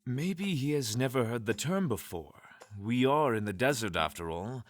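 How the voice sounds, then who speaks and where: A man playing a formal, reasonable adventurer with measured wording in a fantasy audio drama. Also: medium pitch, animated, natural voice. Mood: thoughtful, assured.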